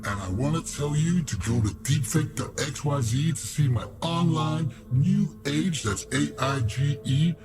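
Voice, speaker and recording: A man's voice: a little high, a little slow, hardly any pauses, natural voice. A man playing a cocky, boastful fake online guru in a radio play, upbeat and punchy. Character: brash, cocky fake online guru contestant from Long Island. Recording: loud music over the voice.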